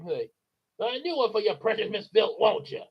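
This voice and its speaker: A man voicing a gruff, bullying father who barks and mocks in a raised, shouting voice. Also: high voice, very animated, brisk, voice pushed up, exaggerated voice. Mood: mocking. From United States. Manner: barking, shouting, mocking. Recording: dull lo-fi recording.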